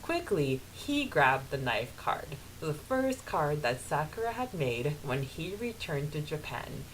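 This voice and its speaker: A woman with a slightly low voice talks as a podcast co-host in casual fan discussion. Her manner is giggly and animated. There is faint hiss behind her. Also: unhurried, low-quality recording. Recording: hissy background noise.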